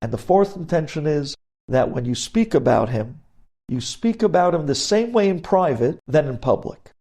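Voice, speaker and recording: A man's voice: fairly high pitch, animated, moderate pace, dark tone. A man speaking as a rabbi giving a lesson on religious law, emphatic, repeating key phrases and posing rhetorical questions.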